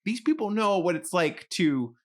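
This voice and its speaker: A young man co-hosting a podcast in casual chat, laughing easily and explaining in a relaxed way. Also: sits high, normal speed. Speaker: podcast co-host.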